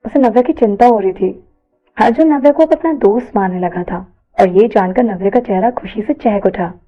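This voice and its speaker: A woman reading a scripted story aloud as an expressive narrator. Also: medium pitch, normal speed, muffled recording, faint music behind.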